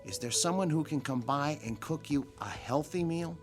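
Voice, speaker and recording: An actor playing a stern, concerned doctor in a radio drama, speaking firmly and emphatically. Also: male voice, on the high side, moderate pace, soft background music, lightly acted. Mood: firm, admonishing. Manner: firm, emphatic, admonishing. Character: stern, concerned male doctor lecturing a patient.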